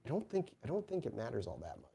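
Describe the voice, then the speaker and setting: A man's voice: mid-range voice, wildly swooping pitch, quick pace, vocal fry, mellow, breathy edge. A man working as game master, improvising vivid narration and explaining rules in a conversational way.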